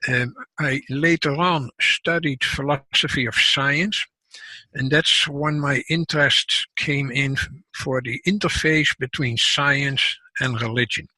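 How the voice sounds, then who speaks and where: Older Dutch-accented man guesting on an interview, slightly husky voice, dry close sound, careful explanations. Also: medium pitch, steady tone, bright, forceful tone, no echo, rough recording. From Netherlands. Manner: measured, explanatory, frequent restarts. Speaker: guest scientist and author. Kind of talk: casual interview talk.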